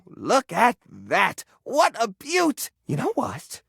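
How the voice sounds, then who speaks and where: Fussy, sentimental junk-drawer hoarder for a dating game: theatrical, highly animated delivery, creaky edges, neurotic temper. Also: male voice, slow, no room sound, clean studio sound, full character voice. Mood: delighted. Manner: fussy, theatrical, swinging into outbursts. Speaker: voice actor in a dating sim game. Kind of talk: animated video game character acting.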